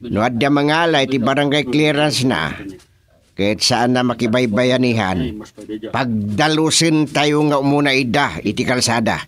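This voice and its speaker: Lalaking gumaganap na mautoridad na kapitan ng barangay. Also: male voice, mid-range voice, moderate pace, a bit bright, natural voice. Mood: firm. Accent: Ilocano. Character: bossy middle-aged male village chairman. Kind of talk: radio drama acting.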